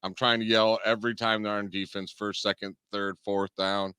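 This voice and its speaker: A man co-hosting a sports talk show, excitable and jokey with slang, tired and close to losing his voice. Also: lowish voice, normal speed, clean tone, dry recording. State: tired, voice nearly gone.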